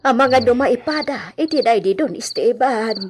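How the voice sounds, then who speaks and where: Animated village woman, acted by a woman, noisy recording. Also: a little high, normal speed, close to an everyday voice. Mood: worried. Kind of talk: Ilocano radio comedy drama acting. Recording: clear noise, animal and insect sounds behind.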